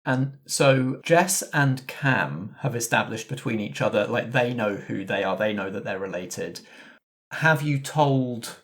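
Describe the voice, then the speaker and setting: A man's voice: medium pitch, a little slow, fairly bright tone. A man speaking casually as game master and host of a tabletop role-playing discussion.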